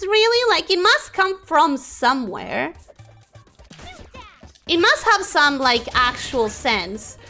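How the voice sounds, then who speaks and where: A woman's voice: sits high, bright, forceful tone. A young woman whose first language is Spanish, chatting casually while streaming a game and reacting to chat.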